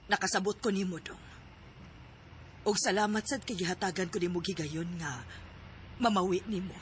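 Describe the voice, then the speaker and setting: An actress playing a patient mother who pleads gently with her grown son. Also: mid-range voice, normal speed, a little hiss or hum, natural voice. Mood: tender.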